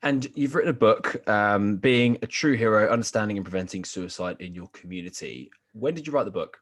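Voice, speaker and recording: A man's voice: fairly low pitch, brisk, a bit bright. A man hosting a podcast interview, asking friendly, rambling questions. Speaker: podcast host.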